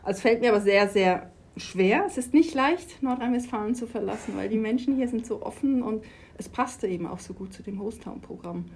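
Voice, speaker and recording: A woman's voice: fairly high pitch, fairly quick, a little mellow, a little hiss or hum. A middle-aged woman from Switzerland, talking enthusiastically in an interview about her work in sport. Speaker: sports organization official. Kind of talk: conversational interview.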